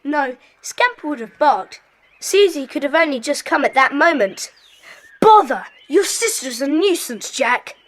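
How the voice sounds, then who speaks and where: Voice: high voice, sing-song, measured pace, fairly bright tone, heavily acted. A performer playing a bossy, decisive British schoolboy club leader in a children's audio drama, speaking emphatically. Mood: exasperated. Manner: emphatic, commanding.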